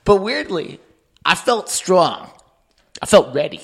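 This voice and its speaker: A man co-hosting a podcast, telling comedic stories in a casual, profane, animated way and laughing often. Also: sits high, takes it slowly, creaky, slightly rough. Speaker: podcast co-host.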